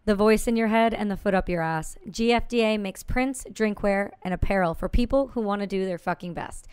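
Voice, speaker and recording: A woman reading scripted sponsor ads with a brisk, punchy delivery. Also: mid-range voice, darkish tone, clean tone, no echo, studio quality. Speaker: ad reader.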